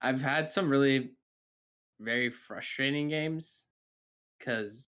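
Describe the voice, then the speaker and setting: A man's voice: mid-range voice, takes it slowly, a bit bright. A young man from Canada co-hosting a casual gaming podcast, rambling with lots of fillers and restarts. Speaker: podcast co-host.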